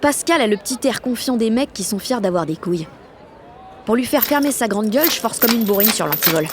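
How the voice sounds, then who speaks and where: A woman's voice: a little high, animated, fairly quick, a crowd in the background, background noise, lightly acted. An actress playing a sullen sixteen-year-old French village girl, narrating an audio drama in blunt, sardonic slang full of swearing. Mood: scornful. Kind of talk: first-person audio fiction narration in teen slang.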